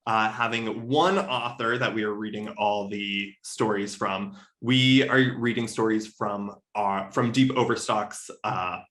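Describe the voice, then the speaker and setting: A man's voice: lowish voice, measured pace, few pauses, a bit bright. A man speaking casually in an interview, explaining things with enthusiasm as a podcast co-host. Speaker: podcast co-host appearing as guest.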